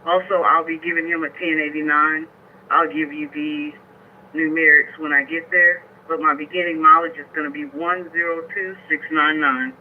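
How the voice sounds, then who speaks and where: A man's voice: fairly high pitch, level tone, moderate pace. A male police officer reporting in codes over a two-way radio. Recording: tinny police radio with faint noise.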